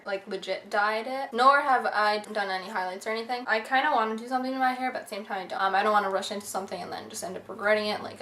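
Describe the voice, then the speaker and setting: A woman's voice: mid-range voice, fairly bright tone. A young woman in college, born in Egypt and raised in the USA, talking casually through a list of facts about herself with lots of "like" and fillers. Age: young adult. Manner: chatty, bubbly, filler-heavy with lots of "like". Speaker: beauty vlogger. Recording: echoey room, faint hiss.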